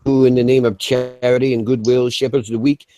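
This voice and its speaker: A man performs a vengeful gunman with an American accent, delivering scripture like a verdict. The delivery is deliberate and menacing, in a mid-range voice with a dry, close sound. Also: medium pitch, little pitch movement, mellow, no echo, lightly acted. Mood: solemn, vengeful. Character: vengeful, righteous American gunman reciting scripture from memory of film.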